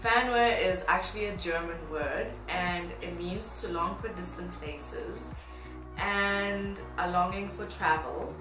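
A woman in her twenties from Tanzania talks casually and brightly in mood, as a blogger addressing followers. Her voice sits a little low for a woman. The room adds a clear echo, and music plays behind her. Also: slow pace. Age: 20s. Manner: upbeat, informal. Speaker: fashion blogger. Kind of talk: casual chat to camera.

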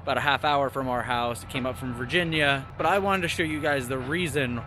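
A man's voice: medium pitch, fairly quick, sharp tone, a little hiss or hum. A man in his thirties, a homestead vlogger, chatting easily to a camera held at arm's length, first outdoors on rural land and then inside a camper. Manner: chatty, easygoing, talks straight to camera. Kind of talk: casual vlog narration.

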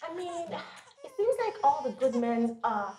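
A woman's voice: high-pitched, big pitch swings, moderate pace, a little mellow. An actress playing a callous young woman vlogger who taunts and scolds in a mock-sweet, scornful way. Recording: echoey room with faint music.

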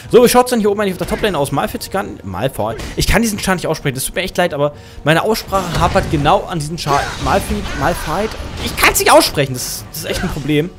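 A man's voice: high-pitched, big pitch swings, quick pace, few pauses. Chatty young German man casting a game. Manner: chatty, self-correcting, thinks aloud. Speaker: game caster. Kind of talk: casual game commentary. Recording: music under the voice.

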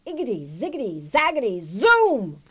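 An excitable, good-hearted witch from a picture book, acted out by a woman reading aloud. She exclaims loudly in a high voice that swoops freely. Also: moderate pace, slightly rough, exaggerated voice. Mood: casting a spell. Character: excitable, grateful, kindly female witch.

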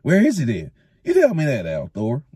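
A man's voice: on the high side, sing-song, brisk, dark tone, husky, no echo, studio quality, voice pushed up. A man voicing a sour, complaining old male thatcher in a storybook reading, pushing his voice well above his own. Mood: indignant.

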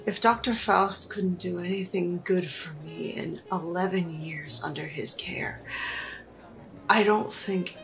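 Weary, creaky-voiced guarded woman played by a woman. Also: lowish voice, a bit bright, rough recording. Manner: halting, sighing, dry sarcasm. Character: weary, guarded, sardonic woman patient in custody. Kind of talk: tabletop roleplay in character.

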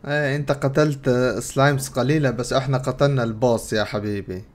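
A man's voice: medium pitch, steady tone, quick pace. A young man with a Levantine Arabic accent, giving casual game commentary and translating dialogue aloud as a streamer. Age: young adult. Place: indoor room. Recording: clear background game noise.

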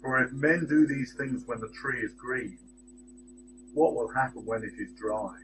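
A middle-aged man reading a Bible passage and giving a church reflection, in a measured, deliberate way, lifting his voice on the quoted words, recorded at close range on a webcam in a small room. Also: mid-range voice. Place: small indoor room with shelves. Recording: steady electrical hum behind the voice.

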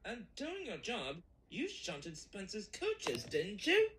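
An actor voicing an eager, naive young diesel engine detective in a cartoon, earnest and excitable. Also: medium pitch, takes it slowly, noisy background. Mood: earnest questioning. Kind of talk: cartoon comic dub dialogue.

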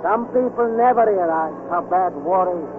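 An actor playing an earnest, war-weary ambulance driver, gloomy and emphatic, in 1940s radio acting. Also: male voice, high-pitched, moderate pace, narrow, tinny audio, close to an everyday voice. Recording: noisy, phone-thin 1940s radio broadcast.

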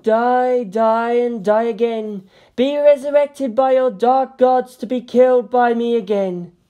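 Woman playing a haughty high-voiced alien seeress. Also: takes it slowly, dark tone, lo-fi sound. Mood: indignant. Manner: imperious, scolding. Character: haughty, indignant female alien Farseer figurine.

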